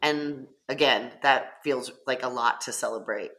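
A very low-pitched speaker with a bright, forceful edge. She talks earnestly and reflectively as a panelist, and her stories pick up animation. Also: measured pace. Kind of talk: panel discussion.

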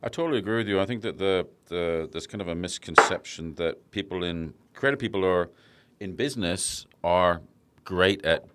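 A man hosting a podcast interview, talking casually with plenty of fillers and pauses, a little jet lagged. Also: low-pitched, a little hiss or hum. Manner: relaxed, hesitant with fillers. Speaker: podcast host. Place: in Sydney.

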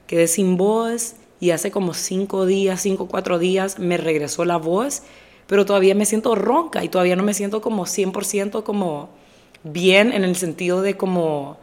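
A young woman hosting her own podcast in casual, direct chat, a little hoarse with a stuffy nose, slipping English phrases into her talk. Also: on the low side, moderate pace. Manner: direct, chatty, mixes English phrases into Spanish. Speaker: podcast host.

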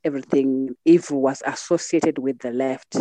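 Mellow middle-aged Zimbabwean woman telling her story. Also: rumbling low, fairly even intonation, moderate pace, no room sound, rough recording. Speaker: podcast guest. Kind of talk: reflective personal storytelling in an interview.

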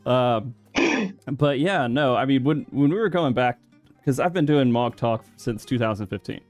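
A man's voice: medium pitch, lively intonation, moderate pace, darkish tone, faint music behind. A man in his thirties hosting a podcast, chatting casually, rambling and laughing often. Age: 30s. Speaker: podcast host.

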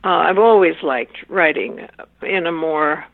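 An older woman whose first language is Swiss German, speaking as an interview guest in a measured, thoughtful way and pausing to search for words. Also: low-pitched, vocal fry, dry recording. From Wisconsin, USA. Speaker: interview guest, author and family therapist. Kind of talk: reflective interview answers. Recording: tinny phone-like line.

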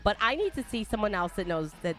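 A woman's voice: medium pitch, big pitch swings, fast, music in the background. A woman in her thirties hosting a podcast, chatting casually, bubbly and blunt, laughing often. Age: 30s. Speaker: podcast host. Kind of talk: casual chat.

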